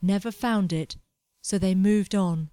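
A woman voicing a pompous, melodramatic young man chasing gold, complaining loudly about everything around him. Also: mid-range voice, measured pace, no room sound, lightly acted. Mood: fervent. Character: anxious, pompous young male fortune hunter.

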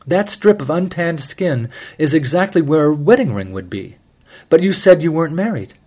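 A man voicing a calm, incisive amateur sleuth who lays out his case in measured, formal speech, for an audiobook. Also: on the high side, pitched above the performer's own voice. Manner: measured, mild yet relentless, formal address.

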